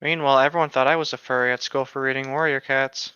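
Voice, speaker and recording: A man's voice: mid-range voice, steady tone, fast talker, pushed and bright, clean tone, no echo, dull audio. A man chatting and reading aloud casually with friends, with a teasing, chatty manner. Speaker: podcast co-host reading aloud. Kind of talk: casual group fan fiction read-aloud with banter.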